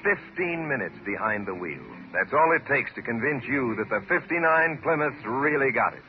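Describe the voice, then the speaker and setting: A man's voice: mid-range voice, animated, moderate pace, over music. A man reading a punchy 1950s radio commercial as an upbeat, emphatic salesman. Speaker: commercial announcer. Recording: muffled, noisy old radio recording.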